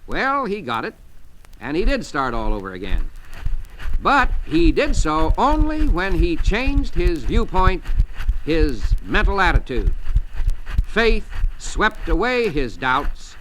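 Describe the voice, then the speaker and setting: Bright-voiced American man preaching, animated. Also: a little high, a little slow, rough recording. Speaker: motivational religious speaker. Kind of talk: inspirational storytelling sermon. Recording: archival speech recording with faint noise.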